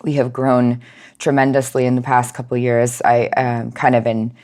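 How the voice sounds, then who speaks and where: A woman speaking as an interview guest, explaining informally with measured, hesitant pauses. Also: very deep voice, completely flat delivery, a little mellow. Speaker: nonprofit outreach manager, podcast guest.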